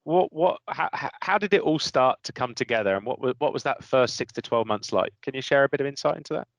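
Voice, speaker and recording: Male interview host, mid-pitch, frames questions with repeats, dry close sound. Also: lively intonation, quick pace, no echo.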